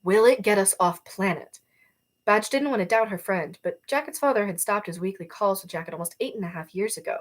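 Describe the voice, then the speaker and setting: A woman's voice: medium pitch, fast talker, few pauses. A woman narrating fiction expressively, as an author reading her own novel aloud. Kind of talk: expressive audiobook-style narration.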